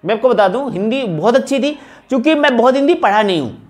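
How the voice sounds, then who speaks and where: A man's voice: very high voice, lively intonation, fast talker. A man gives an informal explanatory lecture as a coaching teacher, often repeating phrases for emphasis. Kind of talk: informal exam analysis lecture to camera. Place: small indoor room, at a whiteboard. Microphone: wired lapel mic.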